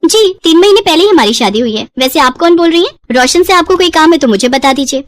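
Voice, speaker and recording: A polite young daughter-in-law from a cartoon family tale, voiced by a woman. She has a high voice and a soft, respectful manner, in a dry recording. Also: expressive, quick pace, no room sound.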